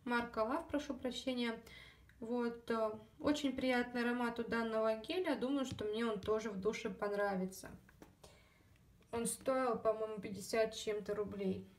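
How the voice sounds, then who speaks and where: Woman chatting thru a beauty haul, kinda high voice, a bit scratchy throat, phone mic a little far off. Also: steady tone, normal speed. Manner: chatty, many fillers, addresses viewers as girls. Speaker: beauty vlogger. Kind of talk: casual haul video chat.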